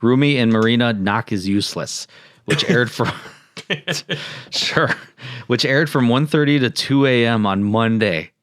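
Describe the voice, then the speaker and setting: A man's voice: mid-range voice, measured pace, long pauses, fairly bright tone, no room sound. A man co-hosting a podcast in an easygoing, informal way, chatting and reading from notes. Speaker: podcast co-host. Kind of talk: casual chat with reading from notes.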